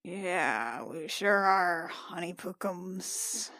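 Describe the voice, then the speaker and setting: A woman's voice: fairly low pitch, lively intonation, very slow, pushed and bright, no room sound, voice pushed down, exaggerated voice. A woman playing a sarcastic, foul-mouthed reluctant wife, flustered and repeating herself, in a tabletop game. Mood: grudging.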